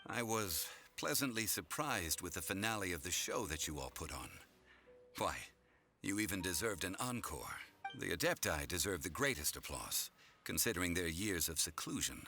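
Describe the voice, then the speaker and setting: Deep-voiced, dignified ancient god. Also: male voice, animated, sharp tone, faint music behind, full character voice. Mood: pleased, stately. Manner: measured, formal, stately. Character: ancient composed male god in human form, dignified. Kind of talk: video game voice acting, formal speeches.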